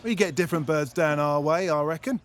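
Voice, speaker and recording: A man's voice: fairly high pitch, fairly quick, a little hiss or hum, lightly acted. Man doing a chirpy, smug patriotic bloke. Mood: smugly cheerful. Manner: colloquial, cheerfully dismissive. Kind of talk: satirical audio play acting.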